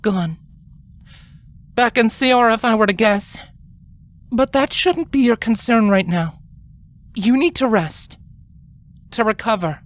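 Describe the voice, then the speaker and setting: A woman's voice: on the high side, slow pace, pauses often, voice pushed up. A woman voicing a stern, regal elder alicorn queen, grim and commanding, in a dramatic fantasy story reading. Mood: firm, cautioning. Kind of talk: dramatic fan fiction reading.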